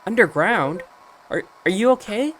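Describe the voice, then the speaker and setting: Man putting on an anxious young animal boy, raised pitch. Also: lively intonation, a little slow, a bit bright, a little hiss or hum. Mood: worried. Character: earnest, anxious twelve-year-old animal boy hero. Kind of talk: video game character read-aloud.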